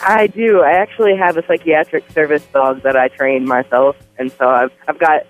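Young caller on a tinny phone line. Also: male voice, on the high side, steady tone, normal speed, faint music behind. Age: 20s.

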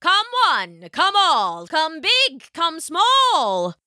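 A storybook huckster, acted out by a woman, pitching in a bright, sing-song patter. The delivery is theatrical and showy, swinging widely in pitch like a practiced stage salesman. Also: big pitch swings, a little slow, no echo, clean studio sound, full character voice. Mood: showy, inviting.

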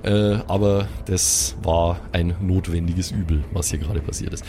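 A man's voice: low voice, steady tone, moderate pace, dark tone, soft background music. A man with a Bavarian accent improvising colloquial, vivid narration as the game master of a tabletop game.

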